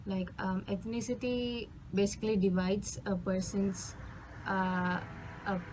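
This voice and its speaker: A woman's voice: medium pitch, little pitch movement, measured pace. A woman from India, an international student explaining her views with many fillers. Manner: fluent but filler-heavy, many "like" and "um". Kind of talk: casual spoken answers. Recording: noisy background.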